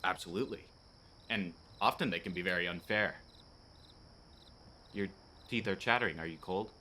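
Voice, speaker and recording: An actor playing an earnest, rule-bound policeman in a radio play, with a halting delivery. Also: low voice, pushed and bright, slight background noise. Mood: stiff, courteous.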